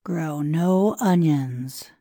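A woman's voice: deep voice, extremely slow, warm tone, dry recording, studio quality, exaggerated voice. A woman playing a proud, sulky and treacherous ancient Egyptian priest, declaiming solemnly in a storybook dramatization. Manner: grandiose, solemn, emphatic declamation. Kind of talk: dramatized children's storybook reading.

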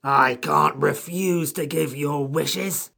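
A man voicing a grumpy, bristling wish-granting creature from a storybook, with a croaky, rough, indignant way of talking. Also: fairly high pitch, fairly even intonation, bright, forceful tone, voice pushed up, full character voice. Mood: angry, threatening. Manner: slow, cross, threatening.

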